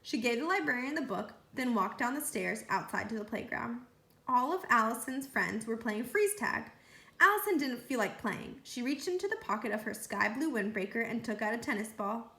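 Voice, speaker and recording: A woman reading a children's book aloud as a storyteller, with animated delivery. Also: on the high side, normal speed. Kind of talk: read-aloud storytelling narration. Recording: roomy echo.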